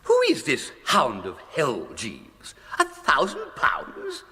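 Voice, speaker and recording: An actor playing a flippant, idle young English gentleman with a breezy, plummy, upper-class accent and exclamatory period slang, in a British radio comedy. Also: a little high, wildly swooping pitch, slow pace, exaggerated voice. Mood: baffled, indignant. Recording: long echoing room reverb.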